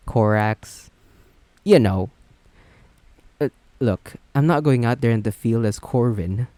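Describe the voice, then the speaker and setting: A man's voice: medium pitch, darkish tone, no echo. A man playing a smug, flirty businessman supervillain, chatty and sardonic, in a romantic roleplay audio drama. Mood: playful, chatty. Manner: chatty, sardonic, self-assured.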